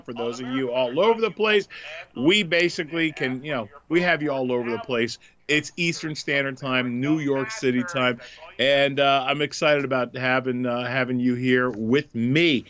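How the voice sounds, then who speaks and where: A man's voice: medium pitch, fairly bright tone, muffled recording. A man hosting a comedy podcast, talking casually with a stuffed-up nose from a cold, jokey and full of fillers. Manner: chatty, jokey, many filler ums. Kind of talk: casual radio chat.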